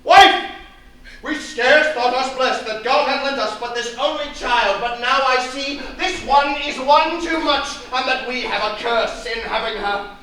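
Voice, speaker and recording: A man's voice: a little slow, exaggerated voice. A man playing a raging noble father. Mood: furious. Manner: swings from low menace to shouting tirades. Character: stern, raging aristocratic father, middle-aged man. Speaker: stage actor. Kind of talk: Shakespearean stage acting. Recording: echoey stage from far off, faint noise.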